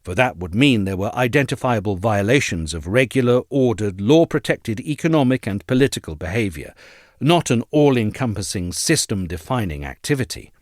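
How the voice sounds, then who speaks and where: A man reading a scripted essay as narrator, in a measured, articulate, formal manner. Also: on the low side, animated, dry recording, studio quality.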